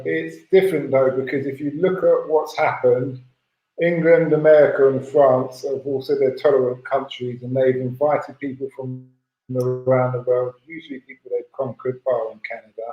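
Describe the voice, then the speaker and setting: A man giving his opinion as a panelist in a podcast discussion. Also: mid-range voice. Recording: echoey room, dull lo-fi audio.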